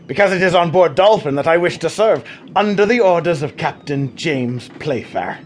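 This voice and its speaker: Male actor as a rough cheeky sailor, lively, insistent, slightly high. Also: normal speed, slight background noise, natural voice. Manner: insistent, good-humoured. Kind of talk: radio drama acting.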